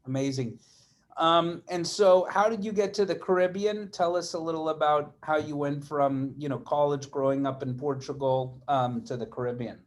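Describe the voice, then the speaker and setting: A man's voice: a little high, normal speed. A man hosting an interview, reading an introduction and then asking friendly questions. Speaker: interview host.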